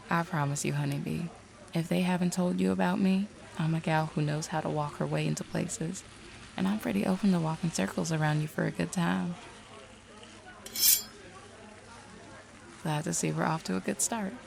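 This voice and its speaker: Actress as streetwise female detective with an uncanny side, audio drama. Very gravelly, mid-low for a woman, drawling and teasing. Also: steady tone, fairly quick, darkish tone, rough recording. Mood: flirty, teasing. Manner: drawling, teasing, folksy slang. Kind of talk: audio roleplay acting.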